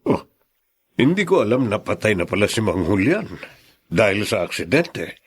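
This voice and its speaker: An actor playing a solemn, protective middle-aged lawyer and loyal family friend in a radio drama. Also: male voice, lowish voice, sing-song, normal speed, husky.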